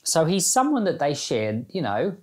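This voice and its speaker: A man's voice: fairly high pitch, wildly swooping pitch, moderate pace, darkish tone. A man hosting a church Bible podcast, reading scripture and giving informal devotional commentary.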